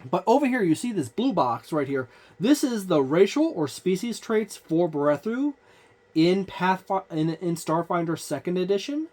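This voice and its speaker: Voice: mid-range voice, sing-song, normal speed, a little mellow. A tabletop gaming channel host talking through a book page by page in a loose, rambling way with many fillers. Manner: points things out on the page. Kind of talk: casual book walkthrough.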